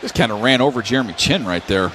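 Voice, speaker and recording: A man's voice: mid-range voice, big pitch swings, fast, a bit bright. A man giving conversational color analysis on a live football radio broadcast, with informal, folksy phrasing. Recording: clearly noisy live broadcast ambience.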